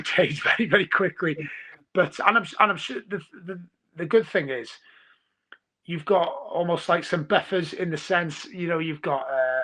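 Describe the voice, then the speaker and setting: A man's voice: fairly high pitch, pushed and bright. A man from North Wales with a North Welsh accent, a Welsh speaker, chatting casually on a podcast and rambling with many restarts. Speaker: podcast guest. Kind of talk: casual chat.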